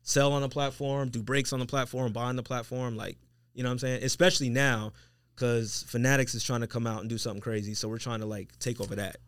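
A quick-talking man with a mid-range voice, chatting informally as a podcast co-host. He sounds opinionated and conversational, recorded dry and close. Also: medium pitch, little pitch movement, a bit bright, clean tone, no room sound. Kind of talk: casual sneaker chat.

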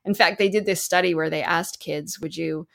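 A woman's voice: on the low side, fairly even intonation, moderate pace, creaky, a bit bright. A woman speaking as a therapist guest in an interview, explaining advice conversationally and illustrating it with example quotes.

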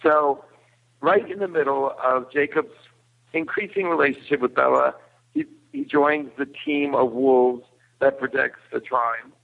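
A man giving a casual film recap as a podcast guest, explaining in a halting way. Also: mid-range voice, creaky. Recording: tinny phone-like line.

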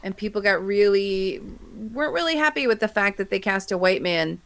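A woman with a smooth, clear, mid-pitched voice. She talks casually as a co-host, pressing her opinions with repeated phrases. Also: animated, a little fast, a bit bright. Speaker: podcast co-host.

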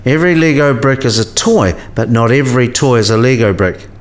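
A man's voice: mid-range voice, fairly quick, natural voice. Precise, didactic professor played by a man. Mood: instructive, probing. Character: learned professor patiently explaining to a younger colleague. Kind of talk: radio play dialogue.

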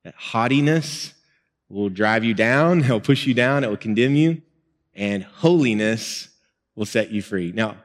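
A man's voice: mid-range voice, lively intonation, unhurried. A male pastor, preaching in a conversational, earnest way with small laughs. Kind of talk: sermon and prayer. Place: church sanctuary. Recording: music and long hall reverb.

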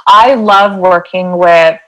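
Earnest Canadian woman, low-pitched. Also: slow, fairly bright tone, poor audio. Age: 30s. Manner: earnest, rambling with many fillers. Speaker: guest, transformation coach. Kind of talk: casual interview chat.

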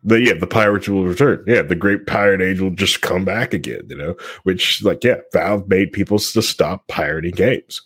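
Man, deep husky voice, podcast host, joking, loose casual chat. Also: moderate pace, hardly any pauses, fairly bright tone, no echo. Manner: joking, rambling.

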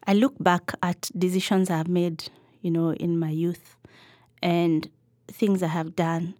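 A middle-aged woman from Kenya, a podcast guest answering in a thoughtful, measured way with some fillers. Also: on the low side, little pitch movement, a little mellow, no echo, clean studio sound. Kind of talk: reflective interview answers.

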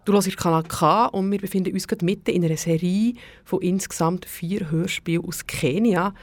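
A woman's voice: on the low side, fairly even intonation, normal speed, no room sound. A woman with a Swiss German accent presenting on radio in a conversational way, speaking directly and informally to the listener. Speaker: radio host.

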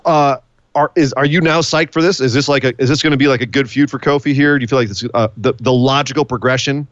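A man's voice: mid-range voice, fast talker, dry recording, lo-fi sound. Man chatting casually on a podcast. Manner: animated, playful, hypes announcements. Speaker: podcast co-host. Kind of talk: casual wrestling podcast chat.

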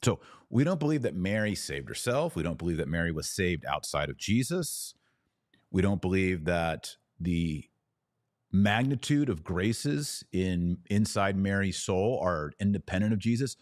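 Male podcast teacher, deep register, very dry recording, didactic and emphatic, answering listeners with weighted key words. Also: lively intonation, unhurried, no room sound. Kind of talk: live theological teaching and viewer Q&A.

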